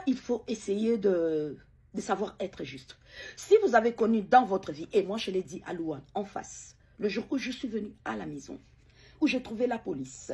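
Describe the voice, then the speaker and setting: A middle-aged woman, a bereaved mother, giving an emotional personal account in a hesitant way, with many pauses and repeated words. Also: a little high, warm tone, breathy edge.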